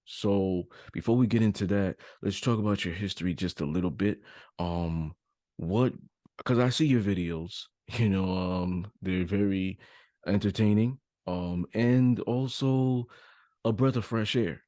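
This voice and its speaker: A man's voice: on the low side, measured pace, mellow, dry recording, studio quality. A man hosting a podcast, interviewing in an informal, conversational way. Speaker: podcast host.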